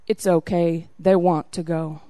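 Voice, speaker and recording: A woman's voice: extremely high pitch, very animated, normal speed, no room sound, studio quality, voice pushed up. Woman voicing a kindly, concerned security officer. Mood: reassuring. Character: kindly, reassuring male airport security officer, a veteran.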